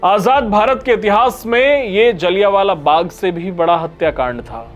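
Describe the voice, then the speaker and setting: A man with a notably high voice narrates a scripted documentary over background music. His storytelling is grave and emphatic, with dramatic weight on key phrases. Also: normal speed, dull audio.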